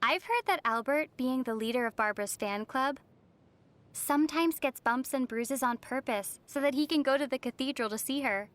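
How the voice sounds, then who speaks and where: A woman plays a young female traveler, the heroine of a video game. The voice is high and youthful, with a measured, playful delivery and a wry, gently teasing temper. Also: close to an everyday voice. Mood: amused, gossipy.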